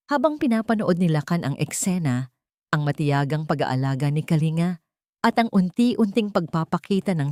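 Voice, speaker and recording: Mellow, even-toned woman storyteller. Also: low voice, no echo, studio quality. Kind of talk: dramatic scripted story narration.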